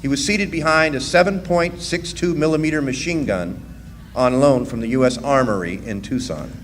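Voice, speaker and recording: A man's voice: medium pitch, normal speed, natural voice. A man playing a plainspoken striking miner who narrates his own story in a radio drama. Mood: wry, matter-of-fact. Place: live stage performance in a hall. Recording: long hall reverb, faint noise.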